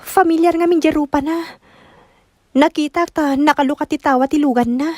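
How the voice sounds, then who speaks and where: Woman acting a very high-voiced, long-suffering young Ilocano mother. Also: fairly even intonation, moderate pace, a little mellow, dry recording, studio quality, lightly acted. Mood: subdued, sorrowful. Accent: Filipino, Ilocano. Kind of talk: emotional radio drama acting.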